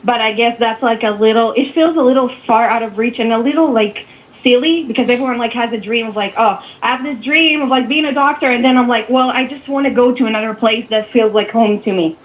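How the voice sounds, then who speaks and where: A woman's voice: on the high side, fairly quick, low-quality recording. A woman in her twenties from Israel, a native Hebrew speaker, talking hesitantly on a call with many restarts. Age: 20s. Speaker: caller. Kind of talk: casual chat on a call.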